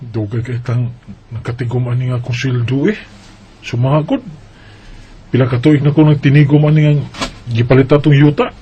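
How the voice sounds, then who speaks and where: A man's voice: mid-range voice, steady tone, moderate pace, long pauses, slight background noise, close to an everyday voice. A man acting an earnest, hesitant Bisaya partner who explains his plans in a colloquial radio drama style. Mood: coaxing, earnest. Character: earnest Bisaya man saving for land and marriage. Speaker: radio drama lead and letter narrator. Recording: dull lo-fi radio drama recording.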